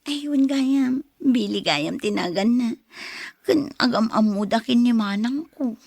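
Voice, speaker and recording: A woman's voice: on the high side, animated, measured pace, vocal fry, darkish tone, no room sound, studio quality, heavily acted. An actress in an Ilocano radio drama playing a giddy, smitten young woman, playful and pouty. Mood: chatty.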